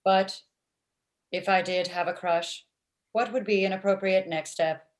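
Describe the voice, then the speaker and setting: A woman's voice: mid-range voice, fairly even intonation, unhurried, lightly acted. A woman playing a blunt, lovestruck robot matchmaker with stilted, literal speech in a comedy table read over video call. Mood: defensive. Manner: stilted, uncontracted, machine-like phrasing.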